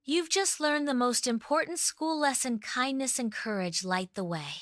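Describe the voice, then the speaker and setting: A woman voicing a gentle, encouraging fairy guide in a children's bedtime story. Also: high voice, moderate pace, no echo, studio quality.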